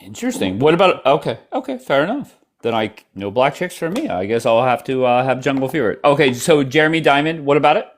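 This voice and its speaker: A man hosting a casual livestream chat, blunt and quick to cut in on his guests. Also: medium pitch, sing-song. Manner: blunt, apologetic, talks over guests. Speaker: livestream host. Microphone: headphones in, at home.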